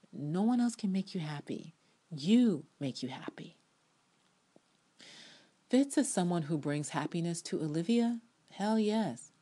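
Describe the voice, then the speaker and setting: A low-pitched American woman reads her own written review aloud as a dramatic performance. She is emphatic and sassy, leaning theatrically into her opinions and breaking into conversational ad libs. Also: dark tone, slightly rough.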